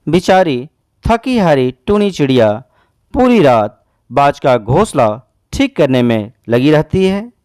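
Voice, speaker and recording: Lively man narrating a children's story. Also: fairly high pitch, slow pace, no echo, clean studio sound.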